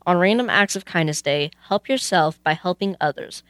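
A woman's voice: on the low side, animated, moderate pace, no room sound. A woman presenting on a youth radio show, reading scripted narration.

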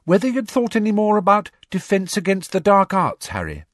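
A man voicing a clever, bossy teenage schoolgirl in an audiobook, with an earnest, coaxing manner and a husky edge. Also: high voice, huge pitch swings, fairly quick, dry recording, clean studio sound, voice pushed up, heavily acted.

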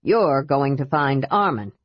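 A woman voicing a gruff, stubborn old male dwarf warrior with a grim, grumbling manner in a fantasy storybook. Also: low voice, expressive, normal speed, no echo, lo-fi sound. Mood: firm.

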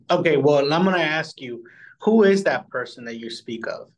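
A man's voice: fairly high pitch, animated, moderate pace, low-quality recording. A man co-hosting a podcast in casual chat, with a laid-back, plain-spoken way of talking. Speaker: podcast co-host.

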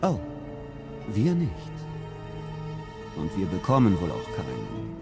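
An actor playing a haughty, mocking royal in an audio drama, with a slow drawl. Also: male voice, sits low, huge pitch swings, vocal fry, over loud music, exaggerated voice.

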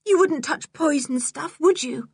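Woman acting fierce, anxious girl, high-pitched. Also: a little slow, a little mellow, dry recording, studio quality. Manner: emotional, emphatic.

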